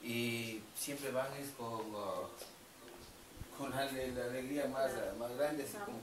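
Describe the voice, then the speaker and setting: A middle-aged man with an Ecuadorian accent, giving courteous, halting interview answers as winery staff, often repeating himself. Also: medium pitch, fairly even intonation. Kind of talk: informal on-site interview answers. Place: covered brick patio at a winery. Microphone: camera a few metres away. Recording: echoey, distant camera mic, background noise.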